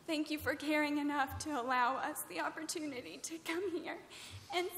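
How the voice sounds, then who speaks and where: A woman's voice: sits high, moderate pace, fairly bright tone. An American woman, close to tears, giving unscripted heartfelt thanks as a guest at a roundtable. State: emotional, near tears. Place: large event room with audience. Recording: echoey hall, noticeable background noise.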